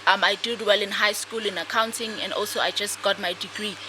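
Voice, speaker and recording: Young woman guest, soft-spoken and hesitant, mid-pitched, noisy podcast audio. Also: fairly even intonation, brisk. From South Africa. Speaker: guest, author and speaker. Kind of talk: casual interview answers.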